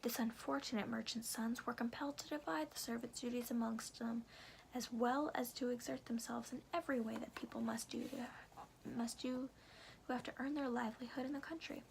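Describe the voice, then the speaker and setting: A woman reading a storybook aloud in a soft, breathy ASMR whisper, phrasing slowly and deliberately with careful pauses. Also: on the high side, steady tone, a little hiss or hum, poor audio.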